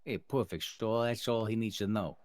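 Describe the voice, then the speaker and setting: A man's voice: lowish voice, normal speed, no room sound, lightly acted. A man playing a businesslike mafia underling in improvised online roleplay, talking in roundabout wiseguy euphemisms. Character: scheming, businesslike mobster underling reporting to boss.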